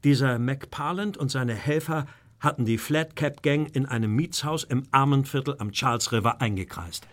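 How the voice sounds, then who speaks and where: Earnest, anxious gentleman storyteller, played by a man. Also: medium pitch, normal speed, close to an everyday voice. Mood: grave recounting. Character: anxious English gentleman Carstairs recounting his ordeal. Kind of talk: German audio drama acting and narration.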